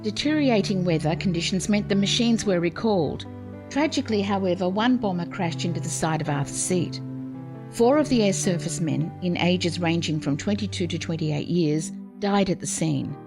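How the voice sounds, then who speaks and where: Measured woman narrator over music. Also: fairly low pitch, lively intonation. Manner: measured, formal storytelling. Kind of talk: scripted narration. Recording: music playing behind.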